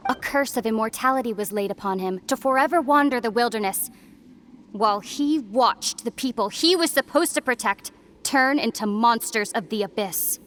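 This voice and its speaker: An actress playing a calm young woman who speaks in a solemn, measured way. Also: fairly high pitch, slight background noise, close to an everyday voice. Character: calm young woman, the traveler's lost sister. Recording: faint game music behind.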